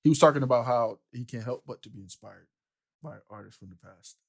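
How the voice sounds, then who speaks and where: A man's voice: mid-range voice, fairly quick. A man hosting a casual interview, a keyboard player who talks in an easygoing way, laughing often and asking short questions. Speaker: podcast host and keyboard player.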